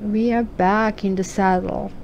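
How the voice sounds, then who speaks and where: A woman's voice: mid-range voice, fairly even intonation, a little slow, gravelly, a little mellow, dull audio, slight background noise. A woman from Croatia with a Croatian accent, reading tarot cards in a chatty, musing way and asking questions aloud. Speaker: tarot reader.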